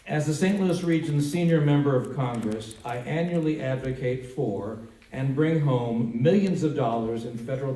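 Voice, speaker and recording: A man giving a public address as a spokesman, with a formal, measured delivery. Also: mid-range voice, warm tone. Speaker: congressman's communications director. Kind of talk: public speech, reading a prepared statement. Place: indoor town hall meeting. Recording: echoey hall, distant mic, faint noise.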